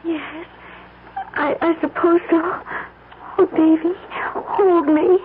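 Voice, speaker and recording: Naive young bride, American, very high voice, devoted, pleading, tremulous, vintage radio melodrama, thin tinny sound. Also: female voice, steady tone, slow. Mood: fearful. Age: young adult. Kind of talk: old-time radio melodrama acting. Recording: tinny, noisy old radio broadcast.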